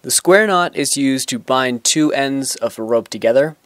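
A young man explains knots step by step in a plain, instructional voice of medium pitch. He now and then restarts a sentence mid-thought. The sound is dry and close, with little room around it. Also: normal speed, fairly bright tone, no room sound. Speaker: knot-tying instructor. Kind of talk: step-by-step tutorial. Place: outdoors on grass.

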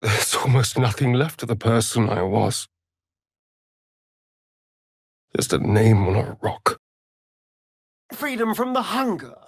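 A man's voice: mid-range voice, big pitch swings, a little slow, husky, no echo, exaggerated voice. A male actor with an English accent voicing a sardonic, seductive, vain vampire rogue in a video game, with an arch, flamboyant drawl. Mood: brooding, earnest. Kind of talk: theatrical video game dialogue.

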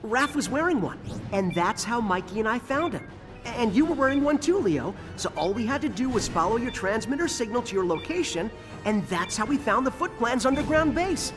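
A brainy young turtle inventor whose high voice jumps around as he explains. He is eager and logical, and clear music plays beneath him. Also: sing-song, fairly quick. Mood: explaining. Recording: game cutscene over music.

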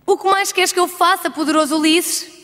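A woman's voice: very high voice, fairly even intonation, fairly quick, pushed and bright. A woman voicing a proud, seductive sorceress in a European Portuguese cartoon dub, with formal, theatrical delivery. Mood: pleading. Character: proud seductive sorceress, vengeful, then pleading. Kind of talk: dubbed cartoon acting. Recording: long hall reverb.

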